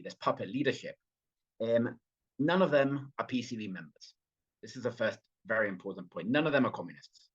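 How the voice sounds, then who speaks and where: A man's voice: medium pitch, slightly rough, dull audio. A British man with a slight Venezuelan tinge in his accent, talking as a guest on a podcast. He speaks fluently but with many fillers and restarts. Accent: British, with some Venezuelan influence. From Britain. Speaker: guest, party official. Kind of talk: remote podcast interview.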